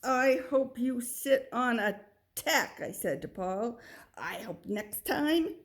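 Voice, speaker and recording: A woman reading a children's picture book aloud, with expressive delivery and little asides to the listener. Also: on the high side, takes it slowly. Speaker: storybook reader.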